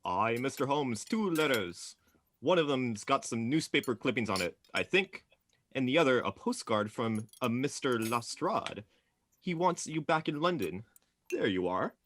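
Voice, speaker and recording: A man's voice: medium pitch, very animated, unhurried, a bit bright, dry recording. A man playing a cheeky, self-important village postman in a radio play, folksy, garrulous and cheerful. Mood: cheerful, boastful.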